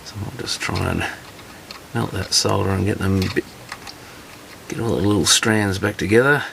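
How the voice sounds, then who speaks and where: Man, low voice with plenty of fry, casual workbench commentary as a repair hobbyist, noisy recording with clicks. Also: moderate pace, fairly bright tone. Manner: muttering, thinking aloud while working. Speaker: electronics repair hobbyist presenting a teardown. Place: repair workshop. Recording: clear background noise with clicks.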